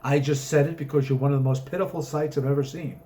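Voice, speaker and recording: A man's voice: medium pitch, fairly even intonation, fast talker, dark tone, slight background noise, close to an everyday voice. A man voicing a beautiful, breezy woman driver in a story reading, chatty and wry with frank curiosity. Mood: breezy, amused.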